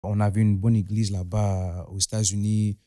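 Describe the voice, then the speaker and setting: Young man from Togo, raised in the US, soft-spoken interview guest, dark and dry. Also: on the low side, little pitch movement, moderate pace, no room sound. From Togo, raised in the United States. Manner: soft-spoken, trailing off, many repeated words. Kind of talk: personal testimony interview. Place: studio.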